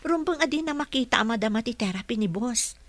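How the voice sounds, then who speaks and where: An actress with a Filipino Ilocano accent playing an earnest, caring young caregiver in a radio drama. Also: female voice, fairly high pitch, expressive, quick pace, dry recording, close to an everyday voice. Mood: earnest, worried.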